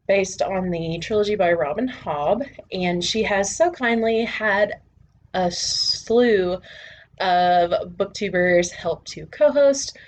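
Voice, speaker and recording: A woman hosting a book channel, talking to viewers in a measured, deliberate, presenter-style way with short pauses between phrases. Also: mid-range voice. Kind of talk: presenter-style talk to camera.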